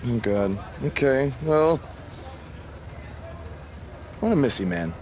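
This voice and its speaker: An actor playing a young, good-natured underdog pro wrestler in a video game story, with a casual, sarcastic delivery. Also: male voice, mid-range voice, sing-song, slow pace, darkish tone, slight background noise. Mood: amused, awkward.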